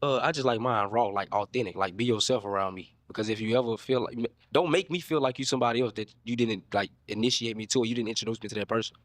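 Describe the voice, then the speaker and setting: A man's voice: on the low side, quick pace, hardly any pauses, dry recording. A man in his twenties, a dating show contestant explaining himself earnestly and insistently. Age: 20s.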